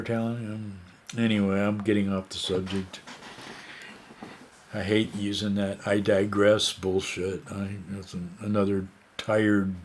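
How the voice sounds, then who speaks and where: Slow, rambling American man. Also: fairly low pitch, a little mellow, a little hiss or hum. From United States. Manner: rambling, hesitant, many fillers and restarts. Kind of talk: casual opinion monologue.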